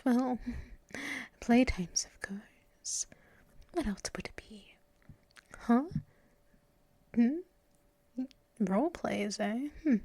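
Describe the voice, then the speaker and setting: A woman playing a doting, teasing, gently dominant mistress in an ASMR roleplay, breathy and mostly whispered, cooing close to the microphone. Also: a little high, dry recording, exaggerated voice. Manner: slow, cooing, teasing, drawn-out pauses and hums. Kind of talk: unscripted ASMR roleplay, addressed to the listener.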